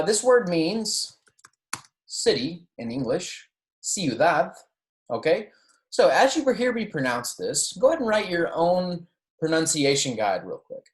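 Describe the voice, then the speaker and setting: A teacher giving an introductory pronunciation lesson in an instructional way, often checking in with the class and modelling words to repeat. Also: medium pitch. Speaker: Spanish teacher. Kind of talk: introductory language lecture on pronunciation, with repeat-after-me examples. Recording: roomy, echoey sound.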